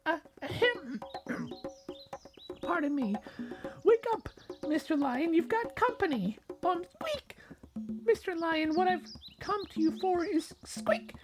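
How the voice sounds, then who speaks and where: A man voicing a tiny, meek but plucky mouse in a children's story, with an earnest, squeaky falsetto. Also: very animated, slow, long pauses, music in the background, full character voice. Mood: nervous, polite. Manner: earnest, peeping.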